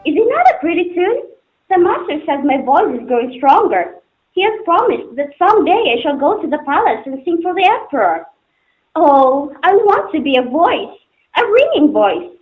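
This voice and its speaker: A woman's voice: very high-pitched, very animated, normal speed. A woman playing a devoted, innocent young singing girl in an earnest, poetic stage drama. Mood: dreamy, proud. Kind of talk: amateur stage-play dialogue, formal poetic English. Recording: muffled, phone-like narrowband sound.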